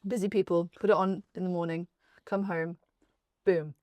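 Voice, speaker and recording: A woman from South Wales talks as a guest in a relaxed mealtime interview. Her voice sits a little low, and she speaks informally with self-deprecating humour. The recording is very dry, without room echo. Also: moderate pace. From Cardiff area, Wales. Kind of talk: casual chat over a meal.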